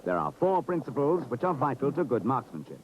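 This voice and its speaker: Formal British man narrating, lively intonation. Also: medium pitch, fairly quick, a little hiss or hum. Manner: formal, precise, authoritative. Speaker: training film narrator. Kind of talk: scripted instructional narration. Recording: dull, muffled 1970s film soundtrack.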